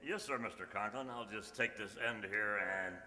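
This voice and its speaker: A man's voice: on the low side, moderate pace, bright, forceful tone. A man playing an earnest male schoolteacher in an old-time radio sitcom, speaking politely. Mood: bashful. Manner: hesitant, polite. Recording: noisy hall recording, long reverb, clicks.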